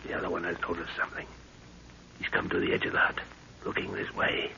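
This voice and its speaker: A man's voice: fast talker, pushed and bright. A middle-aged man playing a resolute father and jungle adventurer, clipped, urgent and commanding, in 1930s radio drama style. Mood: tense, watchful. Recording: dull, hissy 1930s radio recording.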